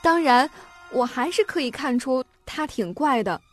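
A woman's voice: high-pitched, lively intonation, measured pace, faint music behind. An actress playing a cheerful, naive young daughter in a radio drama, chatting innocently as she tells her story. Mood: chatty, excited.

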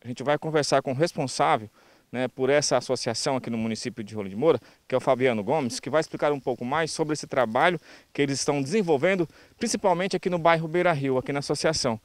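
A man's voice: medium pitch, fast talker, no room sound. A middle-aged Brazilian man reporting the news from the field, speaking through a face mask into a handheld microphone. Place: outdoors in a grassy clearing.

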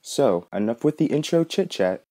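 A man's voice: medium pitch, sing-song, normal speed, warm tone, a little hiss or hum. A man presenting a podcast with scripted, explanatory voice-over narration. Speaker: podcast host.

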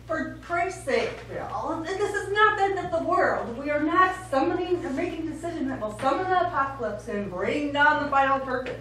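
A woman's voice: high voice, lively intonation, moderate pace, few pauses, fairly bright tone. A woman on stage playing an earnest, argumentative wife with an emphatic, wordy delivery. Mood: irritated, insistent. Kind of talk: live stage play acting. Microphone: far camera. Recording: very echoey stage, distant mic, noisy.

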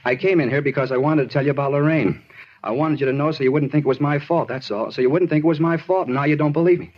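A man acts out an aggrieved, disturbed American suspect in an old radio crime play. He rambles insistently and repeats himself in a husky, hurried voice, on a dull vintage recording. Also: a little high, close to an everyday voice. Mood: aggrieved, pleading. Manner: rambling, repetitive, insistent, plain-spoken. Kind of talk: 1950s radio drama acting.

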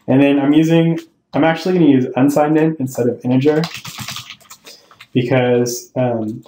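A man's voice: mid-range voice, fairly even intonation, normal speed, warm tone, slight background noise. Man explaining code in a roomy echo. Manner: thinking aloud, self-correcting, explaining step by step. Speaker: programming tutorial host. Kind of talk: screen-recorded coding tutorial. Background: keyboard typing and clicks.

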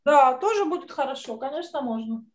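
Woman, high voice, art teacher, encouraging and praising, online children's lesson, video call with faint noise and clicks. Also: lively intonation, fairly quick.

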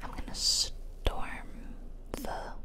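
A woman whispering playful ASMR commentary while she plays a video game. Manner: soft, playful, drawn-out whispering. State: tired. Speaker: game streamer.

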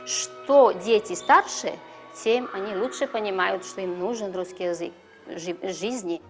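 A middle-aged teacher speaking in a classroom interview, deliberate and pausing to search for words. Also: female voice, mid-range voice, over music. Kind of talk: interview answer. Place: classroom, indoors.

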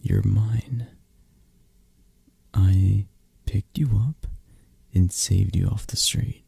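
A man playing a possessive, menacing mafia boss lover in an ASMR roleplay, with a breathy, gravelly voice and a halting, condescending manner. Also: lowish voice, steady tone, mellow, no echo, exaggerated voice. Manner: slow, halting, controlling, condescending. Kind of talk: ASMR roleplay, intimate close-up acting.

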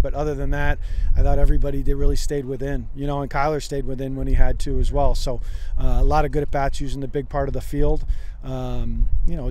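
A man's voice: mid-range voice, dark tone, poor audio. A middle-aged man, a baseball head coach, giving a rambling post-game interview outdoors on a field into a handheld mic. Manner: rambling, many restarts and fillers. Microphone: handheld mic held by interviewer. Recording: outdoor field noise, gusting wind.

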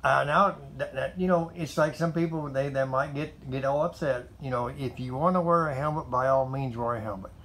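A man's voice: medium pitch, moderate pace, few pauses, fairly bright tone, rough recording. A man in his seventies, a motorcycle vlogger, talking in a folksy, meandering way with many fillers and repeats, recorded on a camera set up in a metal carport. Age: 70s. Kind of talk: rambling casual monologue to camera. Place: metal carport garage, indoors.

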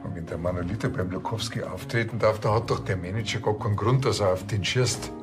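A man's voice: on the low side, fast talker, over music, close to an everyday voice. An actor playing a dry, sceptical Bavarian small-town policeman in a TV crime comedy, with a Bavarian accent.

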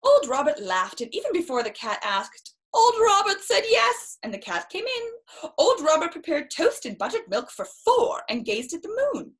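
High, very animated woman reading a storybook. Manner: theatrical, expressive, drawn-out emphasis with exclamations. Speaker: storyteller reading a picture book. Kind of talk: children's story time read-aloud with chatty asides. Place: small room indoors, seated in an armchair.

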